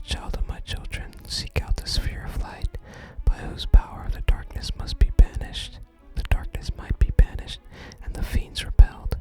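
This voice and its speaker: A gaming ASMR host whispers softly and breathily, close to the microphone. The delivery is hushed storytelling, moving between game text and quiet commentary. Music plays clearly underneath the whisper. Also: poor audio. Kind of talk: whispered ASMR game playthrough, reading game dialogue aloud.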